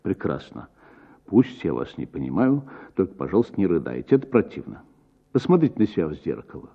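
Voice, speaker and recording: Actor as an exasperated gentleman, animated scolding. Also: sits low, brisk, gravelly, husky, narrow, tinny audio, full character voice. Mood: angry scolding. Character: irritated, embarrassed gentleman, exasperated and blunt.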